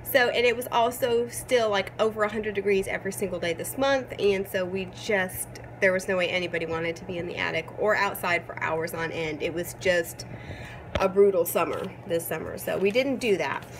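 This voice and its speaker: A woman in her thirties, hoarse from a cold, chatting casually and upbeat with lots of ums as she vlogs from home. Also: lowish voice, normal speed, noisy background. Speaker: vlogger. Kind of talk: casual chat. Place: at home, changed rooms.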